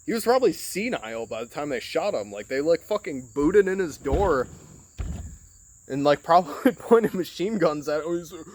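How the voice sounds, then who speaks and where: A man's voice: a little high, expressive, normal speed, darkish tone, no room sound. Rambly guy from michigan talking into his phone, swears a lot, lots of like and you know, dry close sound. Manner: rambling, profane, heavy filler words. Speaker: podcast host. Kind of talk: casual rambling monologue.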